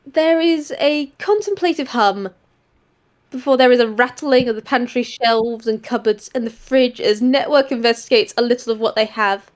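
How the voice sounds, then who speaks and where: High-voiced expressive woman narrating a story. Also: moderate pace. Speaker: role-play narrator and player. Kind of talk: improvised present-tense story narration.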